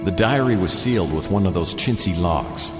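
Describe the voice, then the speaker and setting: An actor playing a wisecracking private detective down on his luck, narrating a noir radio drama in a dry, deadpan way. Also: sits low, moderate pace. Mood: wry, deadpan. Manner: deadpan, wry. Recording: echoey long reverb, music underneath.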